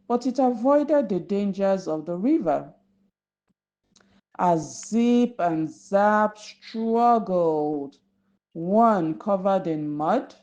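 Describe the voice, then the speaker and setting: A woman tells a children's folktale at a very slow, careful pace. Her voice is fairly low and mellow, with an instructive manner. The recording is a little dull and lo-fi. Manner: deliberate, instructive, addressing children. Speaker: children's storyteller. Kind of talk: narrating a folktale for young children.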